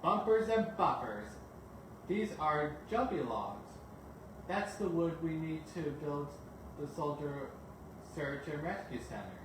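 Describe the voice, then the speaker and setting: A man's voice: on the high side, animated, slow pace, voice pushed up. An eager, earnest little engine from a children's storybook, played by a man, exclaims in a raised voice. The sound is very echoey and set back from the mic, over noticeable noise. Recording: very echoey, distant mic, noisy background.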